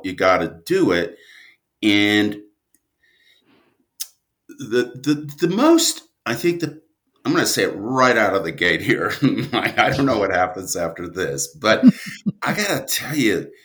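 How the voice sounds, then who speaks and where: A man speaking as an interview guest, a writer and director who answers conversationally in halting, deliberate, emphatic phrases. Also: low voice, fairly bright tone.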